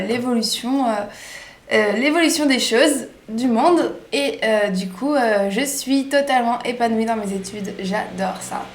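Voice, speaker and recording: Young woman vlogger from France, slightly high voice, chatty and eager, lots of little hesitations, echoey home room. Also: slight background noise. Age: 20s. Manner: chatty, hesitant fillers, enthusiastic. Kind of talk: casual introduction vlog.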